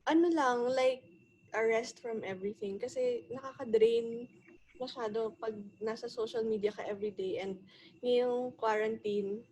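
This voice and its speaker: A talkative young Filipino woman of nineteen, a guest on a casual podcast, quick to tease and laugh. Her voice sits a little high, with a faint noise underneath the recording. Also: poor audio. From Philippines. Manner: lively, teasing, talkative. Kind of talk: casual chat mixing English and Filipino.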